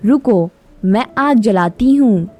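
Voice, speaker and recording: A woman's voice: on the high side, animated, slow pace, dark tone. A woman voicing a kind, helpful young female bird with a concerned, caring manner in a children's cartoon story. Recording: music behind the voice.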